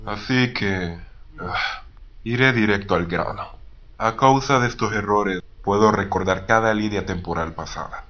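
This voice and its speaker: A man voicing a weary, casual skeleton who talks deliberately and haltingly, in a Latin American Spanish cartoon fandub. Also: on the low side, a bit bright, muffled recording. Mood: weary, suspicious. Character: laid-back male skeleton, weary, quietly suspicious. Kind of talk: dramatic animation fandub. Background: music.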